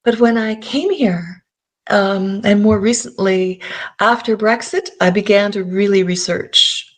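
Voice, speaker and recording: Slow, halting Canadian-accented woman. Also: mid-range voice. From Scotland. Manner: halting, many fillers, enthusiastic storytelling. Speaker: podcast guest. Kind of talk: interview chat.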